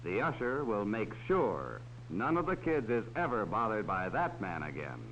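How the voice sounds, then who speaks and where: Male narrator for a 1940s kids' safety film, lively and patient, dull hissy sound. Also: fairly low pitch, normal speed. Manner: talks down to children. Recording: dull old film soundtrack with faint hiss.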